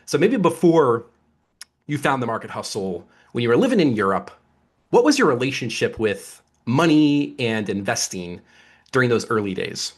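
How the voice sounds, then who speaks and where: Expressive, husky male podcast host. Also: medium pitch, normal speed. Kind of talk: upbeat podcast interview.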